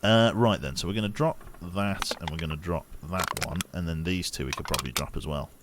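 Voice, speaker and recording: Low-voiced man narrating his gameplay. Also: a little fast, very noisy. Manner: chatty, muttering his actions aloud as he plays. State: slightly sniffly, congested. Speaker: streamer. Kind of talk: casual gameplay commentary.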